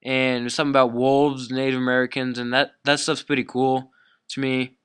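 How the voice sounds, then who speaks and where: Clear-voiced young man rambling through a story. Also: mid-range voice, level tone, brisk, a bit bright, no echo, clean studio sound. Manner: profane, slangy, rambling. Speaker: YouTube storyteller. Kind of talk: casual storytelling.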